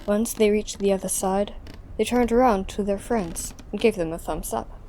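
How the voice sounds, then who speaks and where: A woman reads scripted narration for an audio drama. Her voice is mellow and mid-pitched, recorded with faint noise behind it. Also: normal speed.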